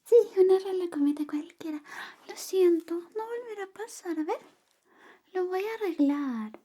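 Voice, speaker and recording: A woman speaking softly and often whispering in an ASMR roleplay, playing an affectionate, playful best friend. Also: very high voice, animated, normal speed. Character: affectionate, clumsy female best friend giving a shave. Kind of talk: ASMR personal attention roleplay.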